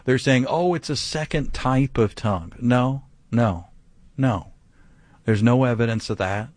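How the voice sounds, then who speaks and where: Male radio preacher, mid pitch, emphatic, dry close mic. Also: measured pace, a little mellow, no room sound. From grew up speaking some Vietnamese. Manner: emphatic, rhetorical questions. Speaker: pastor. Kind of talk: radio Bible teaching.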